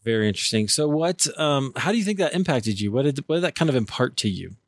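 A man from Iowa hosting a podcast interview in a friendly, relaxed way with plenty of ums. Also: mid-range voice, fast talker, no echo. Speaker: podcast host.

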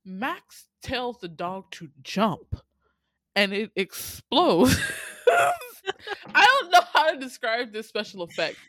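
An American woman co-hosting a casual movie recap, telling the story in an animated, slangy, profane way and laughing often. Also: a little high, unhurried, no echo. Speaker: podcast co-host recapping a movie. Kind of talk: casual comedic storytelling chat.

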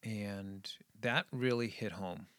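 A man's voice: fairly low pitch, takes it slowly, fairly bright tone, dry recording. A middle-aged man talking casually and earnestly about his schooling and family, often trailing off. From New England, USA. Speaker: guest. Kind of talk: casual conversation.

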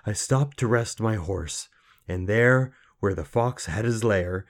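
A man voicing an eager young stable lad in a fairy tale, urgent and excitable. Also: fairly low pitch, slow pace, pitched above the performer's own voice. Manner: urgent, loud, panting eagerness.

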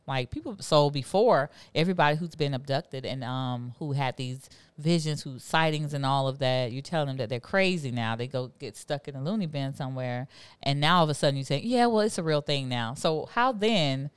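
Smooth-voiced podcast co-host. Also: mid-range voice, moderate pace, no echo. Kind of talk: casual podcast chat.

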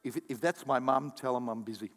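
A man preaching a sermon as pastor, calling out to the congregation and breaking into quick asides and acted-out quotes. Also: medium pitch, soft background music. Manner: animated preaching with audience call-outs and asides. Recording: long hall reverb.

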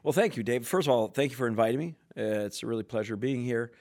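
A man of middle pitch answers podcast questions as a sales executive. He speaks earnestly and with enthusiasm, full of corporate phrasing and some filler words. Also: fast, darkish tone.

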